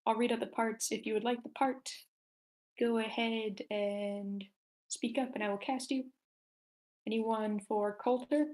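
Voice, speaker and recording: A woman's voice: a little high, steady tone, unhurried, a little mellow. Woman casually hosting an online group call. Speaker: host of an online table read, casting parts.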